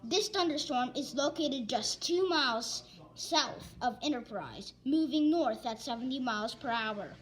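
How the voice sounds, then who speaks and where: A woman reading an amateur emergency weather bulletin in a halting, stumbling way, often repeating phrases. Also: high-pitched, expressive. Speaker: announcer of mock emergency alerts. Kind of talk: amateur weather alert bulletin read aloud.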